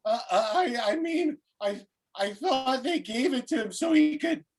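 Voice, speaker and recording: A woman's voice: high-pitched, expressive, darkish tone, slightly rough, heavily acted. A woman acting a flustered, hesitant young male security guard in a script table read. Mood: flustered, defensive. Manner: halting, unsure.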